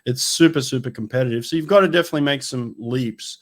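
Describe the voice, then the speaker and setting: Man, podcast host, mid-pitched voice, loose conversational questions, stumbles and repeats. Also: fast. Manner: conversational, repeats words as he restarts. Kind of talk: interview podcast.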